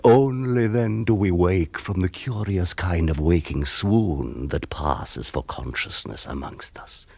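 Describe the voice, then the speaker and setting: An ancient vampire count in a radio play, his voice extremely deep and husky. He speaks slowly, savouring each grand, ornate phrase with gloating, mournful relish. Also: no room sound, heavily acted. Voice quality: gravelly, husky. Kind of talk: 1970s radio drama acting.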